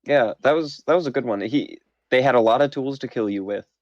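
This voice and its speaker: A man who runs a tabletop game, chatting casually after a session in a halting, self-deprecating way with many restarts. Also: medium pitch, no echo. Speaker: game master. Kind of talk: casual post-game chat. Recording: muffled lo-fi audio.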